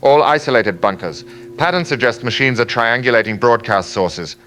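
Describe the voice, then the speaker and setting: A man playing a somber, analytical survivor radio operator, measured and bleak, in an audio drama. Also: mid-range voice, lively intonation, bright, forceful tone. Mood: analytical, grave. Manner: measured, matter-of-fact, bleak. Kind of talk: post-apocalyptic audio drama acting.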